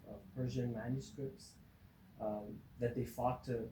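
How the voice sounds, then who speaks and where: A man of forty from Iran speaks as an academic guest, wry and joking, with halting fillers. His voice sits in a mid register in an echoey room, with faint ambient hiss behind him. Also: mid-range voice, fairly even intonation. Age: 40s. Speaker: guest, professor. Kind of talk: interview conversation.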